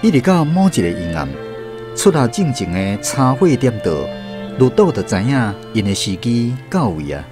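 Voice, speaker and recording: A male narrator tells a radio drama with a Taiwanese accent. His storytelling is dramatic and animated, moving widely in pitch over prominent background music. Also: normal speed.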